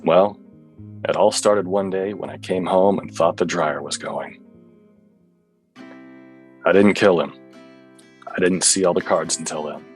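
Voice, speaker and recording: A man playing a weary, self-serious hardboiled cop in a radio play, with deadpan noir-style delivery. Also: low voice, close to an everyday voice. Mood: weary, rueful.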